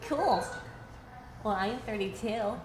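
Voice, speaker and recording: A woman's voice: mid-range voice, a little slow, echoey room, noisy background. A woman in her thirties interviewing actors, asking simple questions with many "cool"s and "mm-hmm"s. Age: 30s.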